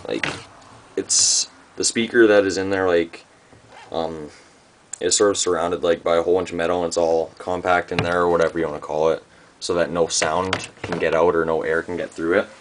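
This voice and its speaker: Young guy w really deep voice doing a chill home tutorial, kinda halting, says like a lot, noisy camera mic. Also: flat delivery. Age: young adult. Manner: laid-back, halting, peppered with "like". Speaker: amateur musician demonstrating gear. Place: small room at home. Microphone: handheld camera's built-in microphone. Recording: noisy amateur camera microphone.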